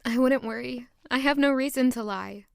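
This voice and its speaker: A demure young maid in an audio drama, easily flustered and privately smitten. Her voice is high and very smooth, recorded close and dry. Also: normal speed, dry recording. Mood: flustered, polite. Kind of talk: audio drama acting with first-person narration.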